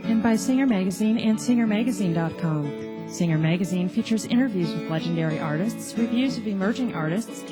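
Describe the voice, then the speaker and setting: A woman's voice: medium pitch, animated, fairly quick. A woman reading a scripted sponsor announcement for a radio show. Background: guitar music.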